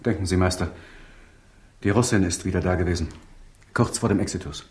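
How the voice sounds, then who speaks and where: Troubled young male doctor, lowish voice acted. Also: brisk, lightly acted. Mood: urgent. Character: troubled young doctor, the professor's devoted pupil. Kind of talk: 1960s German radio crime drama acting.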